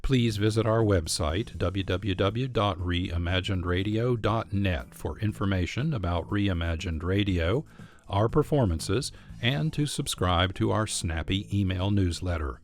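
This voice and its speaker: Low-voiced American man hosting radio. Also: measured pace. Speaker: radio host and producer. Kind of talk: scripted radio narration, show credits. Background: music.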